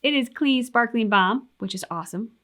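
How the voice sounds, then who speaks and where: A woman's voice: fairly high pitch, animated, moderate pace, fairly bright tone. A woman co-hosting a reaction show in casual, conversational chat.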